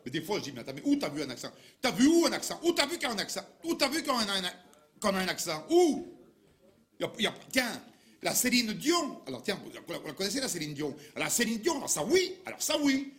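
A man's voice: sits high, very animated, fast, fairly bright tone. An actor playing a comic, grumbling old Dauphinois countryman with a Dauphinois accent, rambling and stammering in mock indignation. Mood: indignant. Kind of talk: comic monologue sketch.

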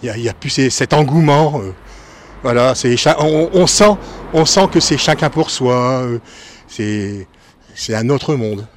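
A man from northern France, a former cook, giving frank opinions slowly with hesitations. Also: mid-range voice, slight background noise. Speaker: interviewed resident, former cook. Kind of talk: street interview.

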